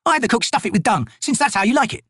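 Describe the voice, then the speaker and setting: Scrappy griping sapper, pitched high, husky, needling, fantasy audiobook. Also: male voice, animated, rapid-fire, fairly bright tone, no room sound, clean studio sound, heavily acted. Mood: griping, sarcastic. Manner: colloquial, needling. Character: scrappy, sarcastic, griping male army sapper.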